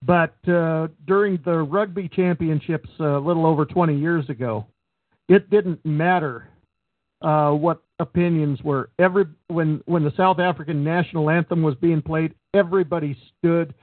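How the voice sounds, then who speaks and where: Higher-voiced man on a tinny line. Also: moderate pace, no echo. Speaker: radio co-host. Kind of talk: casual radio talk. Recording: phone-like narrow sound, dry.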